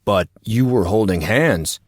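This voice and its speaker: A man's voice: on the low side, expressive, a little slow, no room sound, studio quality. A man playing an earnest, indignant teenage boy in an audio drama who tries to sound dignified while interrogating. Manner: pompous, interrogating. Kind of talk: audio drama character dialogue.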